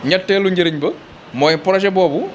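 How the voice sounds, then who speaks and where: A man with a Senegalese accent explains things in an interview as a local official, pausing mid-phrase and repeating words as he goes. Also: high-pitched, a little hiss or hum.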